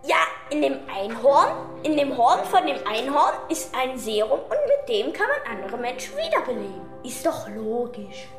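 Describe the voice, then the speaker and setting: Woman as a self-pleased male professor, high and lively, recorded in an echoey room. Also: moderate pace, few pauses, music in the background. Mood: chirpy. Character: cheerful, boastful male professor.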